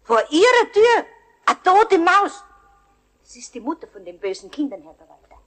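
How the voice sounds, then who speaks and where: A woman's voice: extremely high pitch, sing-song, moderate pace, fairly bright tone, full character voice. A woman playing a flustered, nosy building caretaker in a radio play.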